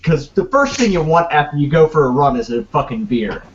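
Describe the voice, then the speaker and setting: A young man co-hosting a casual podcast, speaking in dry, deadpan remarks and loose banter. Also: fairly high pitch, a little fast, lo-fi sound. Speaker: podcast co-host.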